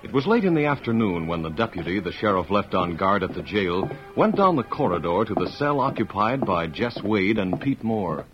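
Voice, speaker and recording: A man's voice: on the low side, big pitch swings, normal speed, hardly any pauses, music in the background. A man narrating a western adventure as a scripted old-time radio announcer. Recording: dull old radio recording.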